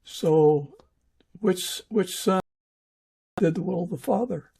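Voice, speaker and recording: A man hosting a podcast in a casual interview style, talking haltingly with pauses mid-sentence. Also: high voice, creaky, dark tone, breathy edge. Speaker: podcast host.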